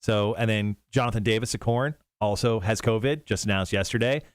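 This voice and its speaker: A man's voice: on the low side, fairly quick, no echo. A man co-hosting a morning radio show in a studio, chatting loosely and laughing often. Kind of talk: casual morning radio chat.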